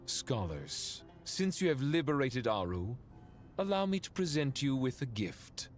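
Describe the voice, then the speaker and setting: Pompous, dramatic ancient guardian. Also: male voice, mid-range voice, very animated, a little slow, soft background music. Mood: haughty. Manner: pompous, declamatory. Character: imperious ancient guardian spirit, haughty and formal. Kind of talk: video game voice acting.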